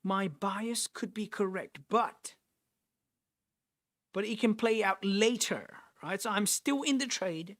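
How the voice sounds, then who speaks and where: A man's voice: high-pitched, slow, fairly bright tone. A young man from Nepal, hosting a live trading stream and talking through his trades while answering chat, often repeating and restarting phrases as he thinks aloud.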